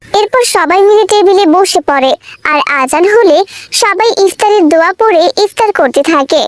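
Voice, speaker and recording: A woman narrates a children's moral cartoon from a script. Her voice is extremely high, almost childlike in pitch, and the sound is very dry. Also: animated, normal speed, no echo.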